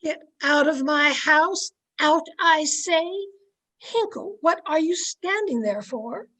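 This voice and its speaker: A woman's voice: high-pitched, slow, a bit bright. A woman playing a domineering, haughty, easily outraged society wife in an old-time radio drama, scolding and imperious. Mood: outraged, commanding. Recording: amateur video-call recording.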